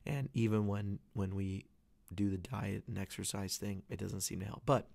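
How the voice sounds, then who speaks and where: Podcast host, man, 30s: hoarse from losing his voice, low gravelly tone, loose and earnest. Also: fairly even intonation, normal speed, a little mellow, no echo. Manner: rambling, earnest, many restarts. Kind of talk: casual solo podcast talk. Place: away from home, dry small space.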